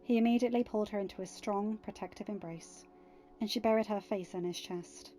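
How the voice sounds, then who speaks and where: A woman narrates scripted fiction in a mid-pitched voice. Her tone is soft-edged and mellow. Faint music plays behind her reading. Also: brisk. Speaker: audiobook narrator.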